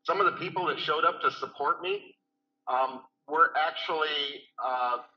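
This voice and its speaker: A man with a very bright, sharp voice speaks hesitantly, with frequent pauses and fillers, as he recounts events. He sits away from the mic on a video call, so he sounds roomy, distant and dull. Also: on the high side. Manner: hesitant, many fillers, plainspoken. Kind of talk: casual recounting.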